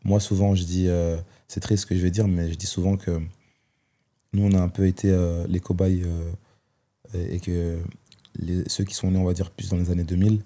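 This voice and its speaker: Deep-voiced man, reflective. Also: level tone, moderate pace, warm tone. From Belgium. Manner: reflective, hesitant with many fillers. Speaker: guest. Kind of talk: personal testimony in conversation.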